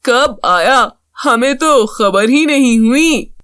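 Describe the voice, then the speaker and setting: Woman as a nosy, cunning neighbour, high-voiced. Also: animated, takes it slowly, fairly bright tone, no echo, clean studio sound. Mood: nosy, sly. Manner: prying, exaggerated, exclamatory. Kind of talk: Hindi children's cartoon voice acting.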